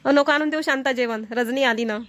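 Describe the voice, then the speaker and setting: A woman's voice: high voice, a little fast, fairly bright tone. A woman voicing a kindly, grateful elderly village mother-in-law with a Varhadi Marathi accent in an amateur cartoon comedy. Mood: neighbourly, concerned.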